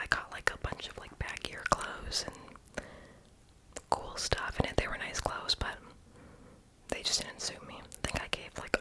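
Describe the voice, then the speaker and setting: A young adult speaking entirely in a breathy, unvoiced whisper, rambling casually and self-deprecatingly in an ASMR voiceover, with soft mouth sounds. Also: low-quality recording. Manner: mouth sounds, lip smacking. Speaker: ASMR video creator.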